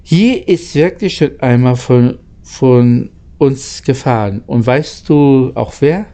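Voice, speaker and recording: A man voicing a knowing, good-natured engine driver in a storybook, explaining things in an unhurried, halting way. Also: mid-range voice, warm tone. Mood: puzzled.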